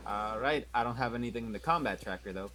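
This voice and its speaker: A man's voice: medium pitch, fast, pushed and bright. Blunt, profane man with background noise. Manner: blunt and profane, asks rule questions. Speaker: player. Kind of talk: casual tabletop game chat.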